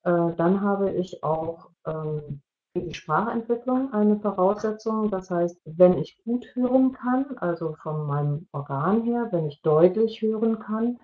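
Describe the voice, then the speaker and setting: A woman's voice: medium pitch, animated, measured pace. A middle-aged woman explaining as an invited expert over a video call. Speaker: media coach, invited expert. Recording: dull, muffled video-call sound.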